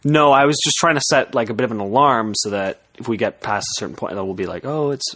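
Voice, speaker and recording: A young man co-hosting a podcast, telling a story to friends in a halting, self-interrupting way with casual swearing. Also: mid-range voice, sharp tone.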